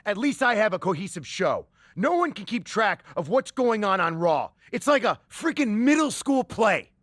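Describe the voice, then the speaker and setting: A man's voice: high voice, big pitch swings, moderate pace, a bit bright, dry recording, studio quality, full character voice. A man playing a boastful, defensive, sneering wrestling show manager in a scripted game cutscene. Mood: heated, mocking.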